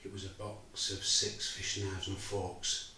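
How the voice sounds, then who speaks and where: A man's voice: low-pitched, completely flat delivery, exaggerated voice. A man playing a grieving older father. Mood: sorrowful. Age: 60s. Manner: subdued, measured storytelling, growing quieter. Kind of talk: theatre monologue. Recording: very echoey room, mic far away, faint hiss.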